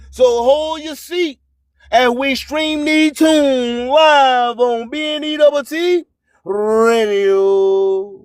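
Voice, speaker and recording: Very high-pitched man hyping radio. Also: smooth, no echo, studio quality. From US Gulf Coast. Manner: boastful, theatrical, repeats phrases slowly. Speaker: radio host. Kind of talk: hype radio station announcing over music.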